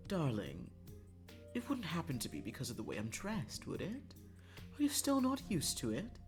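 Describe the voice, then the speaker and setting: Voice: mid-range voice, wildly swooping pitch, moderate pace, soft background music, pitched above the performer's own voice. A streamer voicing a haughty, teasing prince disguised as a delicate lady, coy and mockingly polite, in a visual novel.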